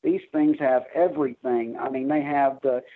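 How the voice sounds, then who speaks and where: A man explaining technical matters conversationally as an interview guest, halting and often restarting his words. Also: medium pitch, steady tone. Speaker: interview guest, air traffic controller. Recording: narrow, tinny phone-like line.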